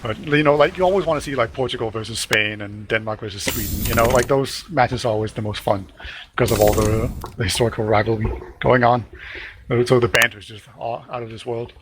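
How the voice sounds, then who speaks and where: A male esports caster with a mid-pitched voice and a faint hiss behind it. He talks easily and conversationally, breaking into laughter and throwing questions to his co-caster. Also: fairly quick. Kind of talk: casual live game commentary.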